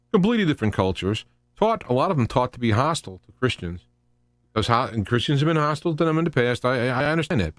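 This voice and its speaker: A man hosting a live talk radio show with an opinionated, unscripted political monologue, halting and restarting phrases and posing rhetorical questions. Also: medium pitch, no echo. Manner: halting and rambling, often repeating and restarting phrases. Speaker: radio host.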